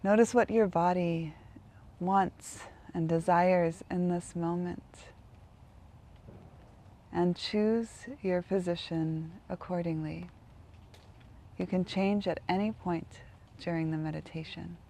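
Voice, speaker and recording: Female meditation guide: soft-toned and relaxed, gravelly fry, gentle unhurried phrasing with long gaps. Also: on the low side, slight background noise.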